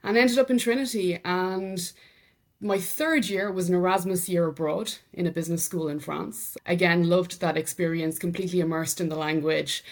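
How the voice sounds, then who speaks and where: A middle-aged woman with an Irish accent, a podcast guest telling her story in relaxed conversation, laughing easily. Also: fairly low pitch. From Ireland. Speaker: podcast guest, sales enablement executive. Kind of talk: conversational interview.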